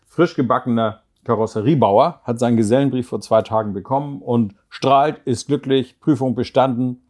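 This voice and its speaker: A man's voice: medium pitch, normal speed, darkish tone. A man hosting a podcast interview who draws out his guest with questions and fills in answers. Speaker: podcast host. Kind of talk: conversational interview.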